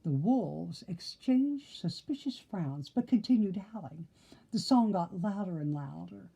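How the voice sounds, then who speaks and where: A woman's voice: medium pitch, expressive, measured pace, creaky, dark tone, slightly rough. An older woman reading a children's story aloud expressively, with theatrical, playful emphasis. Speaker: storybook reader.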